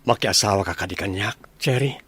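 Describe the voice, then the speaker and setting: A man's voice: on the low side, brisk, fairly bright tone, husky. A man with an Ilocano accent playing an earnest, lovestruck young man and devoted son in a comedy radio drama. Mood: earnest, happy.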